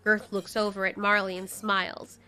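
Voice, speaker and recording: A woman's voice: medium pitch, flat delivery, normal speed, pushed and bright, clear, resonant tone, faint music behind. A woman reading scripted narration for an audio drama in a dramatic storytelling manner.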